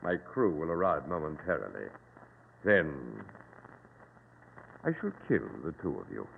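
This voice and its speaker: An actor playing a menacing, boastful pirate captain in formal, grandiloquent old-time radio drama style. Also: male voice, very animated, a little slow, vocal fry, big-room sound, full character voice. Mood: threatening. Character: menacing, boastful modern pirate captain holding captives at gunpoint. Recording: muffled, noisy old radio recording, boomy.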